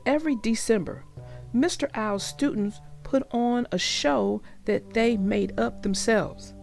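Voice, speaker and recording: A woman narrating a read-aloud story for young children with deliberate, emphatic phrasing. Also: on the high side, gravelly, darkish tone, soft background music.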